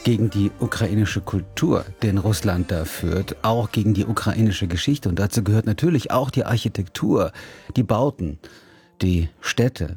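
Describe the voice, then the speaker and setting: Male radio host, low and mellow, measured delivery, dry booth. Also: husky, no room sound. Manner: calm, measured, speaks German and Russian. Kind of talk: radio interview with simultaneous interpreting.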